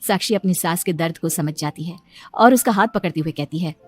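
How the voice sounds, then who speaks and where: A woman's voice: on the low side, brisk, faint music behind. A woman reading scripted story narration, telling a family tale as a narrator.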